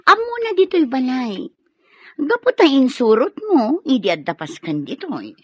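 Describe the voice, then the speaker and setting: A sharp, bossy lady of the house, her voice swinging high and low as she scolds. Her tone is a little husky and dry, and the recording sounds dull and lo-fi. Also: big pitch swings, normal speed, dry recording, exaggerated voice. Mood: accusing. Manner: scolding, cutting, commanding. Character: stern wife of the house, scornful, bossy. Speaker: actress in an audio drama. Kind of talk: radio drama acting.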